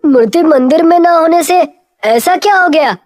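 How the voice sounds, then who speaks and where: A woman's voice: extremely high pitch, expressive, moderate pace, darkish tone, no room sound, clean studio sound, pitched above the performer's own voice. A woman voicing an earnest, puzzled village man in a cartoon moral story, with an urgent, questioning delivery. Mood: alarmed.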